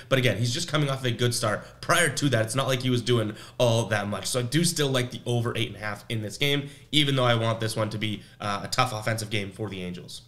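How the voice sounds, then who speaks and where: A young man hosting a sports betting picks show, talking casually and rambling a bit, his voice slightly raspy as he comes down with something. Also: mid-range voice, fairly bright tone. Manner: rambling, conversational, self-questioning.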